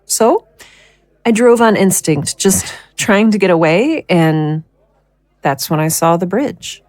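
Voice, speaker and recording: A woman playing a guilt-ridden, worn-down young mother in an audio drama, speaking haltingly and emotionally. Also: lowish voice, lively intonation, darkish tone, no echo. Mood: shaken, haunted. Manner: halting, emotional confession with sighs.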